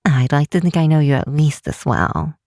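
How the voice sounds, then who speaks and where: A woman playing a caring, teasing young best friend in an ASMR roleplay, speaking gently and hesitantly with soft pauses. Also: low-pitched, no room sound, clean studio sound, natural voice. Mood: playful, fond.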